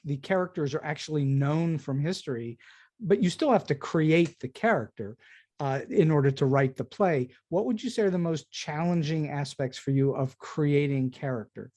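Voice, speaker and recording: A man's voice: on the high side, normal speed, mellow. An American man asking questions as a podcast host in a conversational interview style.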